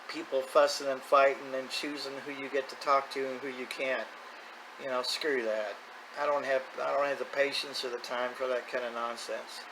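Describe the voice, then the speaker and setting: Middle-aged male, average pitch, plain-spoken, unscripted hobby vlogger, noisy ambient recording. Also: fairly bright tone. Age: middle-aged or older. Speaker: hobby radio video host. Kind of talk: casual unscripted vlog monologue. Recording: clear background noise, ambient sound.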